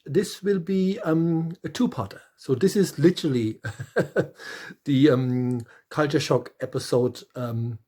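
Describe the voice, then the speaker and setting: A middle-aged man with a German accent hosts a relaxed chat, his voice pitched high but soft and dark in tone. He stops and restarts often, filling gaps with ums. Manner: hesitant, many ums and restarts. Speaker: podcast host. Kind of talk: casual interview chat.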